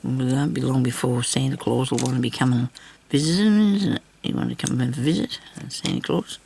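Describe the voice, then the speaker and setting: An older Australian woman with a low, husky voice roughened by a lingering cough, chatting casually and self-deprecatingly as she rambles through a craft video. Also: a little fast, dark tone, slight background noise. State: lingering cough after weeks of sickness. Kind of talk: casual chat while hand stitching.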